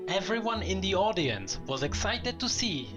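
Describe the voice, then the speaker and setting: A man's voice: sits high, big pitch swings, normal speed. A man narrating a story in a dramatic storytelling style. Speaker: lore video narrator. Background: music.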